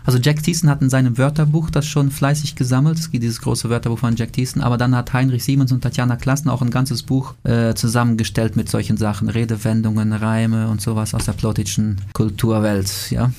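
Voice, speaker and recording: A man speaks in a dark, mellow voice with little rise and fall, almost monotone. He explains patiently and in an orderly way, with small pauses and fillers. His first language is Plautdietsch, and the setting is a radio interview. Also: medium pitch. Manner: measured, explanatory, with frequent fillers. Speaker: interviewee, language association member.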